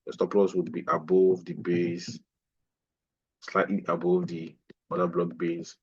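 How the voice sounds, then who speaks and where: A man's voice: sits low, flat delivery, measured pace, a little mellow, breathy edge, dull audio. A man teaching a live online trading lesson, explaining a price chart and repeating phrases as he points things out. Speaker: trading instructor.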